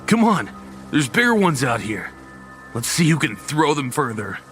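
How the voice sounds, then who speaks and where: A man's voice: medium pitch, very animated, normal speed, fairly bright tone, soft background music, pitched above the performer's own voice. A man voicing a blocky, restless boy who teases and bosses his scared friend. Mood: teasing. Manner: pushy, bossy. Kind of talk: horror audio drama narration.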